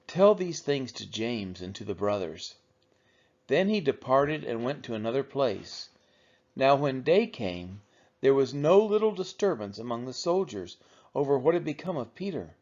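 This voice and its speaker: Man reading scripture with lively, swinging pitch. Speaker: Bible reader for a church read-along. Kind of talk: scripture reading, narrated aloud. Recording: dull, lo-fi sound.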